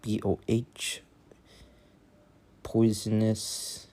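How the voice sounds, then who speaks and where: A man's voice: lowish voice, completely flat delivery, very slow, mellow. A man with a Vietnamese accent, whose first language is Vietnamese, chatting casually on a live stream, thinking aloud with many fillers and restarts. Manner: thinking aloud while working.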